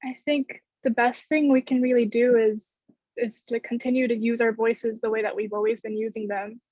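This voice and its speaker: A woman's voice: on the high side, level tone, normal speed, a little mellow, dry recording. A woman in her twenties giving an earnest answer as a student in an online panel discussion from a room at home. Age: 20s. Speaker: theater student. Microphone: video call, earbuds. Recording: muffled video-call audio.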